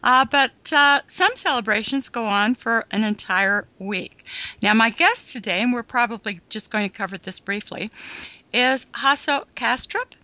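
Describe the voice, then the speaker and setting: A woman hosting a talk radio interview, speaking in a measured way with frequent pauses and fillers. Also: a little high, expressive, pushed and bright, dry recording, rough recording. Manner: measured, halting with many fillers. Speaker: radio host.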